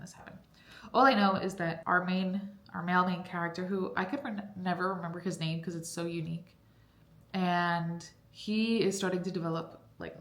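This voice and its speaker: A woman's voice: on the low side, gravelly, echoey room. A young woman chatting casually in a vlog about her reading, rambling with lots of fillers and sounding congested. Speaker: book vlogger. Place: cold basement room at home.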